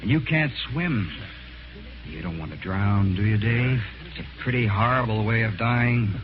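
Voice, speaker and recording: An actor playing a cool, cunning man avenging his sister, deliberate and commanding, in old-time radio mystery style. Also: fairly low pitch, faint music behind, lightly acted. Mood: calm, accusing. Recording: noisy, dull vintage radio recording.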